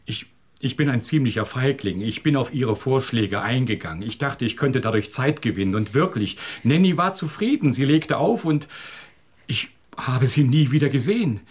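Husky weak husband in a radio drama, stammery and defensive, voice jumps around a lot. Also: male voice, mid-range voice, expressive, normal speed. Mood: sheepish, confessing. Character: weak, cowardly husband under accusation. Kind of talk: German radio play acting.

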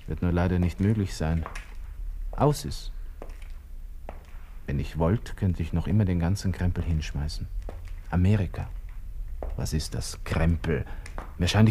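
Radio drama: agitated young Austrian lieutenant, low register, Viennese accent, colloquial inner monologue, proud and brooding. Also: male voice, warm tone, a little hiss or hum. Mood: bitter, vengeful, then agitated resolve. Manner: colloquial, muttering to himself, abrupt self-questioning.

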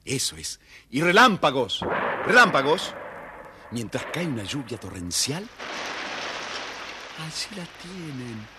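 A man narrating a children's fairy tale as a theatrical, husky-voiced storyteller. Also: mid-range voice, sing-song, takes it slowly, pauses often, noisy background. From Argentina.

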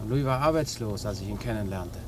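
An actor playing a resigned small-time burglar confessing to police in a terse, matter-of-fact way, in a German crime radio drama. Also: male voice, fairly low pitch, animated, brisk, natural voice. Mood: matter-of-fact confession. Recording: noisy old radio drama recording.

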